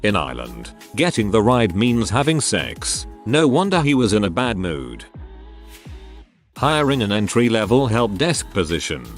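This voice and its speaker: A man reading online stories aloud as a scripted narrator, with a lively storytelling delivery. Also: lowish voice, a little slow. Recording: faint music underneath.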